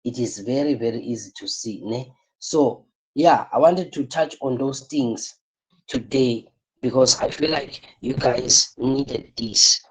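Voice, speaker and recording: A man's voice: mid-range voice, unhurried, breathy edge, poor audio. A tired man, coaching a live online class and repeating his points with rhetorical questions. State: tired, voice strained.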